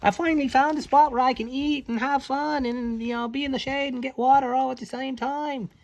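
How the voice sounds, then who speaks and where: A man doing whiny little baby frogs, high-pitched. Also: fairly even intonation, a little fast, creaky. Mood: delighted. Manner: whiny, rattling off wants.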